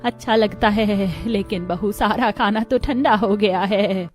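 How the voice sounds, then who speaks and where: A woman's voice: mid-range voice, unhurried, faint music behind, lightly acted. A woman playing a fussy, complaining female relative in a moral story. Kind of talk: acted dialogue in a moral story.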